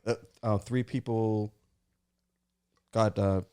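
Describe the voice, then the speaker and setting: A man in his forties from Eritrea, co-hosting a casual comedy podcast and telling stories in a halting, rambling way with many restarts. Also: lowish voice, steady tone, mellow, smooth. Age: 40s.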